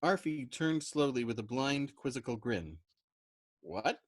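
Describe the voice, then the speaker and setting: A man reading a novel aloud in an expressive storytelling style. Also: medium pitch, normal speed, no echo, studio quality. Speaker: storytime reader. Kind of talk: expressive audiobook narration of a novel.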